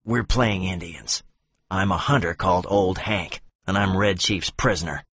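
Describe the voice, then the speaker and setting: A gruff kidnapper, as a male storyteller puts him on, pitched a little low with a husky edge to the voice. He sounds like a rough storybook crook talking things over. The sound is dry and close. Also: a little slow, fairly bright tone, no room sound, full character voice. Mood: plotting, rueful.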